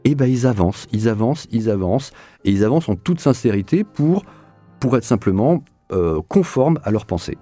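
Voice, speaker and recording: A man's voice: mid-range voice, expressive, moderate pace, warm tone, faint music behind. A man talking reflectively in an interview as a director, explaining and rephrasing with repeats for emphasis. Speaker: audio drama director being interviewed.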